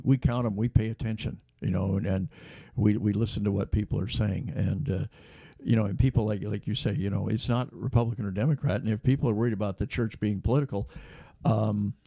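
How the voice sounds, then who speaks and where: A man's voice: on the low side, fairly even intonation, fairly quick, creaky, few pauses, dark tone, dry recording. An older man hosting a casual talk show, folksy and rambling with many restarts. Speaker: host. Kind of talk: casual interview chat.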